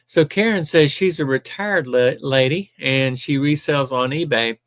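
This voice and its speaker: A man's voice: medium pitch, measured pace, no room sound. Older southern guy hosting a live stream, chatty, lots of ums, dry close mic. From Alabama. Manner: chatty, reads viewer comments aloud with many ums. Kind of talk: casual live-stream chat.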